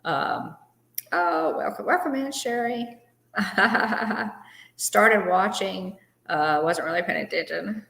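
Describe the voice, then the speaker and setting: A woman's voice: medium pitch, slow, vocal fry, a bit bright, echoey room. A woman co-hosting a live fan show, chatting casually and greeting viewers by name, with plenty of fillers. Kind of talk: casual live-stream chat.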